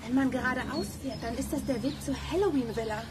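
A woman's voice: sits high, quick pace, noisy background, lightly acted. A woman playing a clever, decisive girl detective in a children's audio drama.

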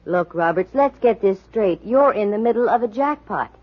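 A tough American woman private eye as an actress plays her in 1940s radio drama. Her voice is husky and her delivery brisk, dry and full of sardonic edge. The sound is muffled, like an old broadcast. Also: medium pitch, animated, close to an everyday voice. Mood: firm, brisk. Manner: brisk, sardonic, quick with wisecracks. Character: wisecracking, tough, dry-witted female private detective. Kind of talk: 1940s radio detective acting.

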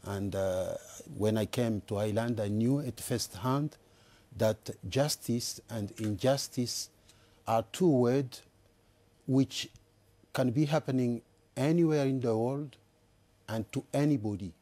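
An older man with a Congolese accent, speaking in a halting, deliberate way as a guest candidate in a TV panel interview. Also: medium pitch, warm tone. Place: TV news studio, indoors. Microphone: lapel mic.

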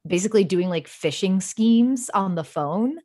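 A woman's voice: medium pitch, animated, moderate pace, mellow. A woman guest on a podcast, chatting casually with emphatic, effusive phrasing and lots of "like". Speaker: podcast guest, writer and fiction podcast creator. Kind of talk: casual chat.

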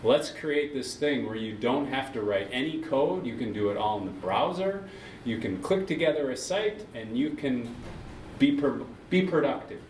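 A man on a discussion panel talking casually, quoting others in quick asides. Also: medium pitch, animated, darkish tone. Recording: roomy, distant mic, faint noise.

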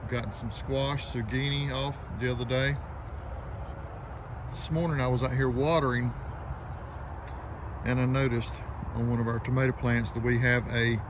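Southern guy chatting outdoors about his yard, plain folksy talk, middle pitched voice, lots of outdoor noise behind. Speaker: homesteading vlogger. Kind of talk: casual narration of backyard chores. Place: outdoors in a backyard garden.

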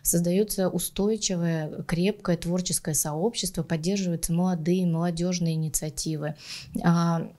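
Low, mellow woman official. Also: fairly even intonation, moderate pace. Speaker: regional government official. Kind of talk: formal interview statement. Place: indoor office.

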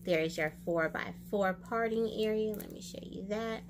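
A young American woman chatting casually and expressively to camera in a product review, with drawn-out exclamations. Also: mid-range voice, noisy background. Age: young adult. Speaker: beauty vlogger and wig reviewer. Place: small room indoors.

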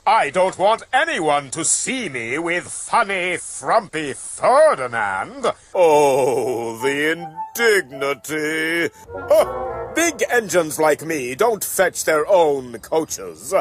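Cartoon engine, big and haughty, high lively voice, unhurried, as a man does him. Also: faint music behind, exaggerated voice. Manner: boastful, haughty. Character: proud, pompous big male express steam engine.

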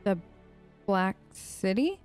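A woman's voice: mid-range voice, very animated, slow, a little mellow, smooth, soft background music. A woman hosting a gaming video, improvising casual role-play in a chatty, rambling, playful and informal way. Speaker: gaming video host, role-playing her character.

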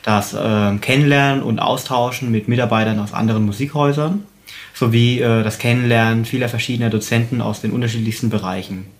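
A young man in his twenties gives a testimonial to camera. He speaks carefully, with some hesitant pauses. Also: medium pitch, fairly even intonation. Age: 20s. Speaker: studio department employee.

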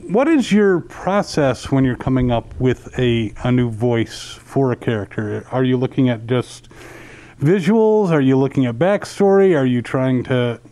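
A man's voice: mid-range voice, expressive, measured pace, dark tone. A middle-aged man asking conversational interview questions into a handheld microphone through a face mask. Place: indoor lounge at a convention hotel. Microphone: handheld interview microphone, speaking through a face mask.

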